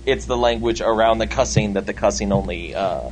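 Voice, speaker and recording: A man's voice: on the low side, brisk, noisy background. A man in a casual gaming chat, grumbling wryly about rude young players. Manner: wry, grumbling.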